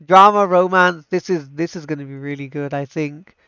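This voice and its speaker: A man's voice: fairly high pitch, moderate pace, bright, forceful tone, clean tone, no room sound, highs cut off. A man doing casual livestream commentary as an anime fan. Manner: enthusiastic, repeats himself. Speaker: streamer reacting to anime trailers.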